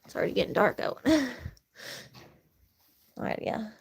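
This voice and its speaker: A woman chatting casually and softly during a livestream from a barn, laughing often and cooing baby talk to her chickens. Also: mid-range voice, sing-song, moderate pace, vocal fry, a little hiss or hum. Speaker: vlogger caring for animals.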